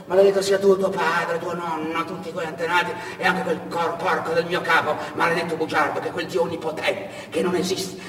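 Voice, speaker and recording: A man's voice: on the high side, flat delivery, a little fast, hardly any pauses, a bit bright, reverberant, in a large hall, distant mic, slight background noise, lightly acted. A man playing a harried, nervous truck driver in a stage monologue, agitated and exclaiming. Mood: furious cursing.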